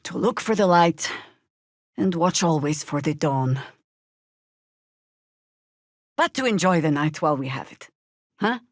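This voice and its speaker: An actress playing a seasoned, sardonic older female druid warrior in a fantasy video game, wry, commanding and deliberate. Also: low-pitched, animated, no room sound, studio quality. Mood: solemn, warm.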